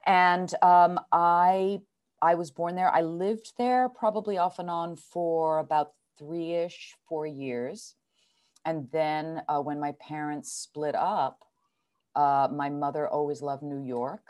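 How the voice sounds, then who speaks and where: Actress guest, 60s woman, low pitch, chatty theatrical storyteller. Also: fairly even intonation, slow pace. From Switzerland. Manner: chatty, theatrical, warm endearments. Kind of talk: relaxed storytelling in conversation.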